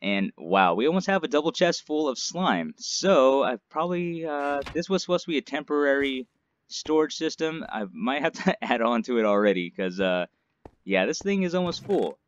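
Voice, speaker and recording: A man's voice: mid-range voice, animated, moderate pace. A man narrates his survival game session in a loose, rambling way, dropping fillers as he builds. His nose is stuffed up from allergies, and his mid-range voice comes through slightly dull, lo-fi audio. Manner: chatty, rambling, many fillers. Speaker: gaming channel host. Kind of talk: casual let's-play commentary.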